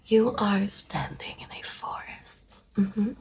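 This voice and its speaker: A woman playing a calm, soft-spoken therapist in a deadpan ASMR meditation roleplay, hushed and breathy, often dropping into a whisper. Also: mid-range voice, lightly acted. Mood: calm, hypnotic. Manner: slow, soothing, hushed, deadpan. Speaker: ASMR performer.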